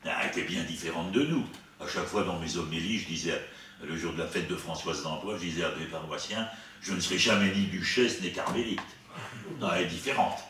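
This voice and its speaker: Priest speaking in a very echoey room. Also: male voice, deep voice, a little fast, fairly bright tone. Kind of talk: spiritual conference, commenting and reading out quotations. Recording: echoey room, distant mic, faint noise.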